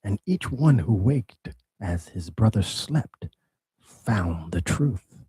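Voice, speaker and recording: A chorus of spirits risen from the deep, murmuring in a slow, breathy whisper, voiced by a man. the tone is low and dark. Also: lively intonation, no room sound, heavily acted.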